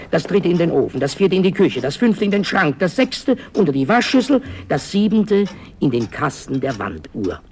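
A man narrating a fairy tale as a storyteller, expressive and theatrical, in a dramatic scripted style. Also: medium pitch, creaky, slight background noise.

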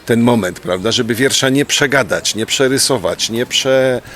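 A man's voice: medium pitch, normal speed, a bit bright, slight background noise. A man who writes poetry, reflecting thoughtfully with tag questions and restarts. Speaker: poet. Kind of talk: reflective interview talk.

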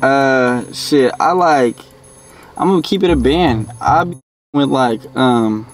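A man's voice: medium pitch, slow pace, low-quality recording. A man in his twenties on a blind date in a car, tipsy after a couple of shots, talking in a cocky, laid-back, slangy way. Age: 20s. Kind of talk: casual chat. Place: inside a parked car at night. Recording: music playing under the talk.

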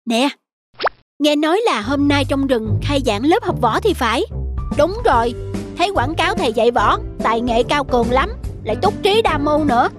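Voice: high-pitched, expressive, moderate pace. An actor voicing a gossipy, teasing monkey in a children's cartoon, with a playful, mocking manner. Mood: chatty. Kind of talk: cartoon voice acting. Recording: children's music behind.

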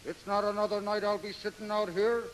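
An actor playing a brash, bossy shipwrecked sailor, gruff in manner, in a 1930s radio drama. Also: male voice, high voice, little pitch movement, brisk, close to an everyday voice. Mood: bossy, irritated. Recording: echoing, hissy 1930s radio recording.

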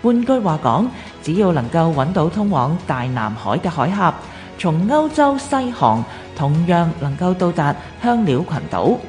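A woman's voice: fairly low pitch, big pitch swings, normal speed, a little mellow. A woman with a Hong Kong Cantonese accent reading scripted historical documentary narration for radio. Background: music.

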